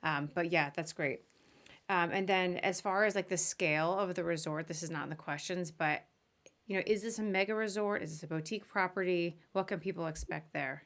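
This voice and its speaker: A woman's voice: on the low side, a little fast, fairly bright tone. A woman hosting a podcast interview in an informal, chatty, conversational way.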